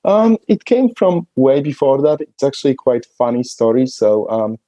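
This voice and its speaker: A man from Poland, speaking as a podcast guest in a relaxed, hesitant way with many fillers. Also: medium pitch, moderate pace, no room sound. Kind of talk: conversational podcast interview.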